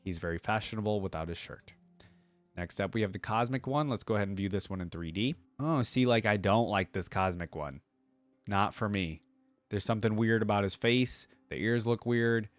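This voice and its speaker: Low-voiced man giving casual reactions. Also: moderate pace, dry recording, soft background music. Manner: informal, thinking aloud. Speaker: reaction video host.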